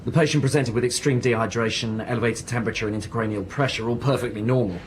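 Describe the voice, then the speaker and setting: A man's voice: mid-range voice, fairly even intonation, fast, background noise, lightly acted. Earnest hospital doctor played by a man. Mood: measured, concerned. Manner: clinical, explanatory. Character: earnest, hard-pressed male hospital emergency doctor. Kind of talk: naturalistic radio drama acting.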